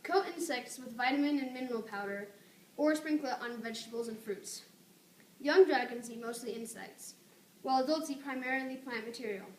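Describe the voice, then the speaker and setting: A woman's voice: on the high side, fairly quick. A woman reading out a scripted informative speech, enunciating carefully and stressing key words. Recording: roomy echo, noticeable background noise.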